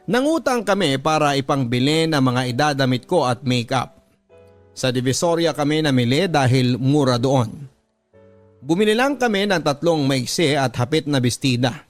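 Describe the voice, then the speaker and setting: A man with a Filipino accent reading a dramatic first-person story as a radio drama narrator, measured and earnest. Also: mid-range voice, no echo. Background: background music.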